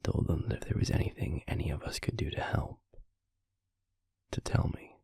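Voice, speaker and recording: A man playing a stern, guarded werewolf pack alpha in an audio roleplay, speaking formally and deliberately in a gravelly, husky voice. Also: mellow, dry recording, whispered, studio quality, close to an everyday voice. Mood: grave, measured. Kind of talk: scripted audio roleplay acting.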